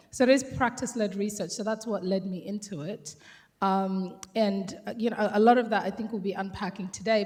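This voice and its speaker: A woman from South Sudan who moved to Australia as a child, speaking informally and reflectively as a panelist at a conference, thinking aloud as she goes. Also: mid-range voice. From South Sudan, moved to Australia at ten. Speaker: panel speaker, gender and race researcher. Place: conference hall, on a microphone. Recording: echoey hall with long reverb.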